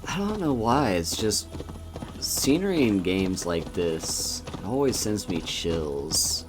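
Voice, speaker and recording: A man streaming a video game, chatting casually in a relaxed, soft-spoken and musing way as he plays. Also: deep voice, slow pace, background noise. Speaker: VTuber streamer. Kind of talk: casual gameplay commentary. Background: game music.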